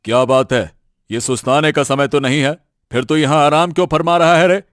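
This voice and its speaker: A man playing a bossy male farmer in an animated moral story, scolding and giving orders in a gruff way. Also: on the high side, a little fast, dry recording, clean studio sound, natural voice. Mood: angry scolding.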